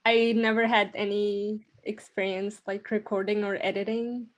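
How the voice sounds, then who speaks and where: A young woman from New Jersey, shy and soft-spoken in a casual podcast chat. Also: mid-range voice, flat delivery, normal speed, highs cut off. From Bayonne, New Jersey. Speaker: podcast editor.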